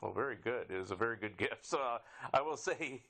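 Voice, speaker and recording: A man's voice: mid-range voice, lively intonation, a little fast, creaky, bright, forceful tone. A man in his fifties hosting a podcast, chatting casually in a rambling way as he interviews a guest. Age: 50s.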